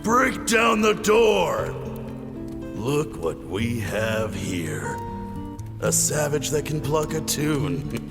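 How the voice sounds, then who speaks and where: A ruthless army captain who commands with menace and mockery. He takes his time, swooping widely in pitch. The voice rings in long reverb with music underneath. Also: expressive, measured pace. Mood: mocking, enraged. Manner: menacing, mocking. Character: cruel commanding military captain hunting villagers. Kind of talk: dramatic video game acting.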